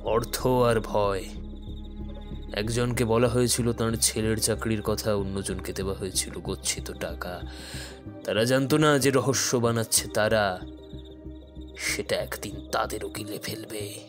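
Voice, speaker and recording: An actor playing a calm, enigmatic detective in an audio thriller, speaking in a measured, deliberate, brooding way. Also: male voice, on the low side, natural voice. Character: calm, enigmatic male detective who works in the shadows. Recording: dramatic music under the voice.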